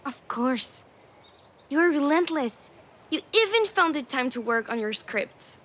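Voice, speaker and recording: A woman's voice: high-pitched, expressive, a little slow, fairly bright tone. An actress voicing a cheeky, affectionate young daughter in a video game, with a playful, girlish, teasing manner. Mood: enthusiastic, teasing. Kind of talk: naturalistic video game drama acting.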